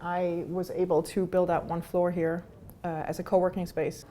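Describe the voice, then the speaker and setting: A middle-aged woman from Switzerland with a mellow, low-pitched voice. She talks earnestly and with enthusiasm, with a few "uh"s along the way. Faint room ambience sits in the background. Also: level tone, normal speed, slight background noise. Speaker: entrepreneur. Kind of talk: casual conversation.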